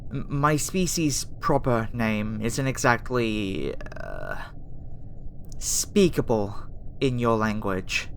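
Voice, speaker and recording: A woman voicing a gentle, flustered young female alien scientist in an ASMR roleplay, speaking soothingly and hesitantly with stammers. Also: a bass voice, very animated, takes it slowly, vocal fry, full character voice. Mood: awkward, sheepish. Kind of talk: ASMR roleplay audio acting. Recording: faint background noise under the voice.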